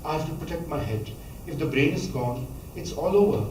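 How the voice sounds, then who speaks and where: A man, reading a memoir passage aloud at a measured pace, now and then stumbling and restarting a word. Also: on the high side, lively intonation, dark tone, a little hiss or hum. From Pakistan. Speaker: author reading from his book. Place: college lecture hall. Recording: echoey hall, voice from a distance, faint ambience.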